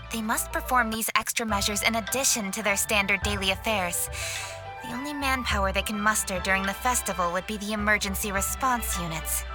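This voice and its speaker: A woman voicing a brisk, dutiful young official in a game cutscene, speaking crisply and politely. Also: medium pitch, lightly acted. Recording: game audio with clear music. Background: game music.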